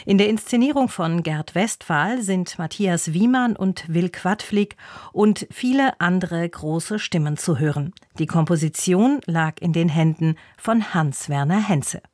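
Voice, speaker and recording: A woman's voice: on the low side, animated, unhurried, a little mellow, no room sound, studio quality. A woman presenting on radio, reading a scripted introduction to a production.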